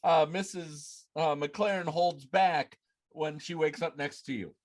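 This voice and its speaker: A male podcast host with a high voice chats casually from home over a video call. He moves through quick-fire questions and chips in his own answers.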